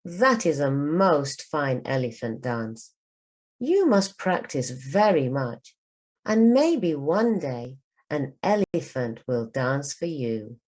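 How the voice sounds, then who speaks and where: A fond old grandfather from a children's storybook, read by a woman. He speaks gently and takes his time, with good humour and a lively, varied melody. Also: low voice, slow, heavily acted. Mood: tender.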